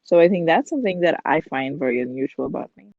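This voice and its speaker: A woman's voice: low-pitched, lively intonation, quick pace, no echo. A young woman from India, a podcast guest, talking casually with lots of "like" and "um" and laughing easily. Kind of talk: casual chat. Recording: dull lo-fi dry sound.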